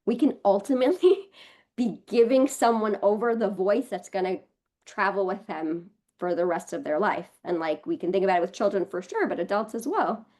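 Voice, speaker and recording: A woman's voice: mid-range voice, lively intonation, moderate pace, a little mellow. A woman with an American accent, a guest talking casually on a podcast with many fillers. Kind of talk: casual conversation.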